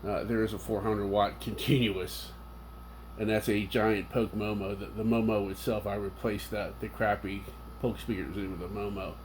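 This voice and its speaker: A man's voice: on the low side, flat delivery, moderate pace, a little hiss or hum. A man giving a casual, unscripted, rambling and technical review of his audio gear with enthusiasm.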